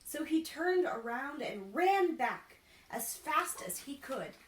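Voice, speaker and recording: A woman storyteller reading a children's picture book aloud with careful, deliberate narration. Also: sits high, sing-song. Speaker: storyteller in princess character. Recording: roomy echo, distant microphone.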